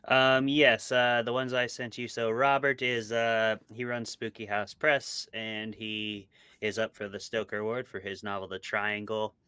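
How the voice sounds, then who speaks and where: A man with a fairly low, very bright voice gives a casual interview as an author. He explains things conversationally, and his sound is crisp and dry. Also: level tone, unhurried, no echo. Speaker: interviewed author, podcast co-host.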